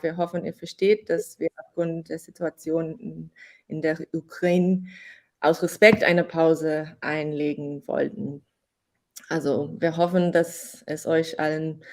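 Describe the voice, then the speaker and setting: Woman host, chatty, slow and careful, keeps restarting sentences. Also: fairly low pitch. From Ireland. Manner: slow, restarts phrases, switches between German and English. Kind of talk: casual online session chat.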